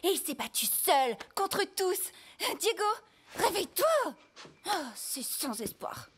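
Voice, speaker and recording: An actress playing a feisty, talkative young sister in a French cartoon dub, chattering and spirited. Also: female voice, very high voice, big pitch swings, slow, full character voice. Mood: excited, then exasperated. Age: young adult. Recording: music under the voice.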